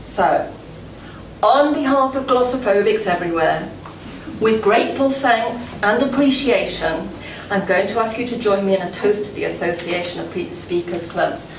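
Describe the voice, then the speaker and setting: A woman delivering a prepared after-dinner address as club president, telling a story in a deliberate, measured way with dramatic pauses. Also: mid-range voice. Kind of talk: prepared public speech, storytelling. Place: indoor gala dinner room before an audience. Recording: echoey room, far from mic, noisy.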